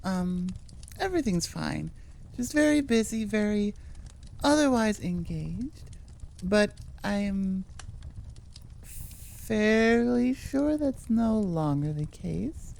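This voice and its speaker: A woman's voice: mid-range voice, animated, takes it slowly, creaky, pauses often, darkish tone. A woman audio roleplay creator chatting casually to her listeners in a rambling, self-deprecating way. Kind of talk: casual ASMR outro chat.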